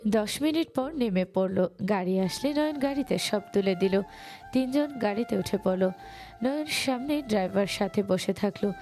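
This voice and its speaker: A woman narrates a romantic story from a script. Her voice is mellow and mid-pitched, with music playing behind her. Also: animated, moderate pace.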